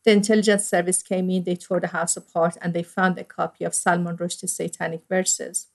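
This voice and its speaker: A woman's voice: fairly low pitch, level tone, fairly quick, gravelly. A middle-aged woman with an Iranian accent, a priest telling her own story as an interview guest in an earnest, reflective way with frequent fillers. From Iran. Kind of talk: personal storytelling.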